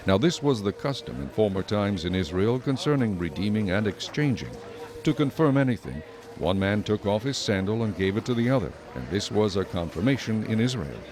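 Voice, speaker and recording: Low-voiced male scripture narrator. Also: sing-song, a little fast, crowd noise.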